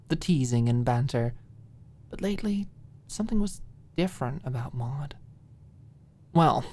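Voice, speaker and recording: Very low-pitched, slow woman audiobook narrator. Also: darkish tone. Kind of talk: scripted fiction narration, ASMR audiobook.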